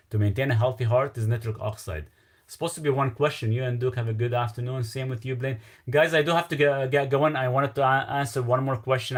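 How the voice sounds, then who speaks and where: A man with a Lebanese accent hosting a casual live stream, reading viewer questions aloud and answering in a loose, rambling way. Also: mid-range voice. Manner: loose and rambling, trailing off with fillers.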